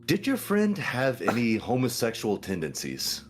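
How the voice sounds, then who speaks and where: A man playing a chummy, smooth-talking investigator in a tabletop game, with a deliberate, salesman-like delivery. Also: mid-range voice, wildly swooping pitch, faint music behind, pitched above the performer's own voice. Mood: chummy, pointed.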